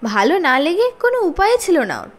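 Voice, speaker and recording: A spirited young storybook schoolgirl, voiced by a woman narrator. She speaks in a high, lively voice. Her manner is direct and kind-hearted. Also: a little fast. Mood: warm.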